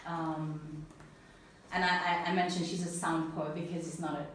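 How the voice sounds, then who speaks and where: A woman with an Italian accent giving an earnest, animated personal talk to an audience, then answering questions. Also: lowish voice, normal speed. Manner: earnest, animated, laughs easily. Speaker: speaker at a talk. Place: event room with an audience. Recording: echoey room, mic at a distance, faint noise.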